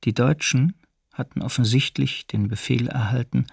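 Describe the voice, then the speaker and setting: An actor playing a camp survivor who soberly gives first-person testimony in a documentary radio drama. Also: male voice, mid-range voice, fairly even intonation, normal speed, creaky, a little mellow, slightly rough, no room sound, studio quality. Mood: grave recollection. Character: male camp survivor soberly recounting his ordeal.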